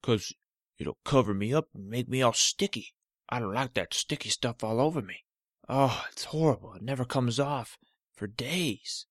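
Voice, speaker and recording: A man doing a loud, bossy talking toe. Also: mid-range voice, moderate pace, no echo, clean studio sound, natural voice. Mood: complaining. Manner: loud, insistent, complaining.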